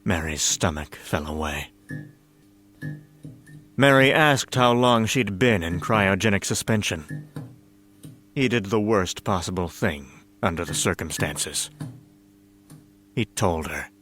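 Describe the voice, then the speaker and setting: A man narrating a comic story in a dramatic scripted style, with a wry, deliberate storytelling manner. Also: low voice, animated, a bit bright. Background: music.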